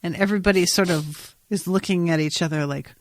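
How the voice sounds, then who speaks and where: A woman's voice: on the low side, brisk, no room sound. Wry woman recapping a show, dry close sound. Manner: wry, sweary, animated retelling with acted-out quotes. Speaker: podcast host. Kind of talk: casual recap chat about a TV episode.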